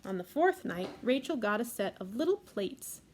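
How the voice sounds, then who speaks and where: A woman with a fairly high voice reads a children's picture book aloud as a storytime reader. She slips in gentle asides about the pictures. There is faint noise behind her. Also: very animated, moderate pace.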